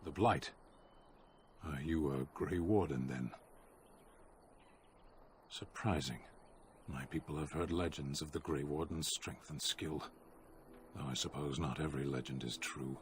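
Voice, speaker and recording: An actor playing a stoic, proud warrior prisoner in a fantasy game, speaking in a terse, solemn, formal manner. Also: male voice, very deep voice, a little slow, pauses often, a little hiss or hum.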